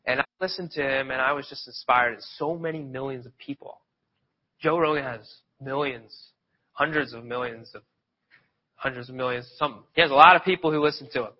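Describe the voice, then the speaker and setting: A man's voice: medium pitch, fairly quick. A man with a cold, sniffling a little, giving an informal, conversational sermon and wandering into loose stories with restarts. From Pennsylvania, near Philadelphia. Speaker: lay preacher. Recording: dull lo-fi sound.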